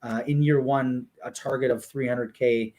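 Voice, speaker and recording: A man's voice: medium pitch, moderate pace. Man explaining in easy, filler-laden talk. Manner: steady, explanatory, many fillers like "you know" and "um". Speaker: guest explaining a hockey league business model. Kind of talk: casual conversational explanation.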